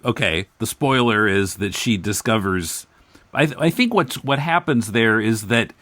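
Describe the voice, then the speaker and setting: Low-voiced man chatting, close dry sound. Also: a bit bright, no room sound. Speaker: podcast host discussing comics. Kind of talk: casual book discussion.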